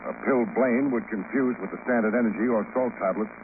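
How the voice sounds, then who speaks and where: A man's voice: mid-range voice, brisk, natural voice. Man acting wry hard-boiled radio detective, tinny recording. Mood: pressing, cool. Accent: American. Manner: wry, wisecracking, unflappable. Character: wry hard-boiled male private investigator. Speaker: narrator and lead detective. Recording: noisy, narrow-band old radio recording.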